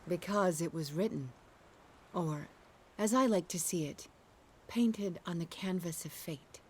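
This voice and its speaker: A low-pitched female prophet, played by an actress speaks with solemn, cryptic fervor. Her delivery is measured and theatrical, as in a video game cutscene, with clear ambient noise behind her. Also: lightly acted. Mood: fervent, ominous. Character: fervent female prophet revealing cosmic truth to a chosen seeker.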